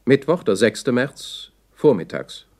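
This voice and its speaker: A male announcer in a 1960s radio play. He delivers short, formal, scripted announcements in a mid-range voice with very wide swings in pitch. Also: very animated, slow pace, darkish tone. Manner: terse, formal time-stamp announcing. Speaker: announcer of dates and times.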